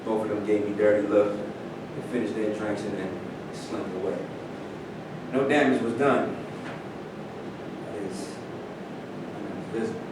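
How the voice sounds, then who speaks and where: A man's voice: fairly even intonation, measured pace, long pauses, close to an everyday voice. A man acting in a staged play reading as an aggrieved, cynical famous former athlete, speaking conversationally. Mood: bitter. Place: live staged reading in a roomy indoor space. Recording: echoey room from far off, rumbling noise.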